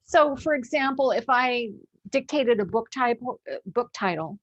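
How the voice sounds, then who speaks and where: A woman's voice: a little high, expressive, unhurried, muffled recording. A woman interviewer talking casually and asking questions in an informal, curious way.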